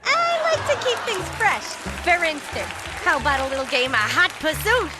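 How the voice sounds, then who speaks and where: A woman's voice: very high-pitched, sing-song, moderate pace, over music, a crowd in the background. A woman voicing a brazen, spirited, flirty witch in a video game, sassy and full of innuendo. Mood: flirty, playful. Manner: sassy, innuendo-laden, exclaiming. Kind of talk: cartoonish video game acting.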